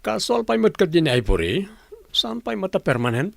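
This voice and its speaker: Gravelly, higher-pitched Ilocano office man. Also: very animated, normal speed, fairly bright tone, no echo, exaggerated voice. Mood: concerned. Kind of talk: Ilocano radio drama acting.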